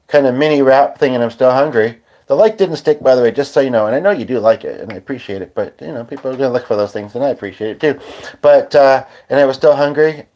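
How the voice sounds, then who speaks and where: A man's voice: medium pitch, darkish tone. A man hosting a live stream, chatting casually and meandering with lots of fillers and restarts. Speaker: podcast host. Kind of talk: rambling live-stream chat.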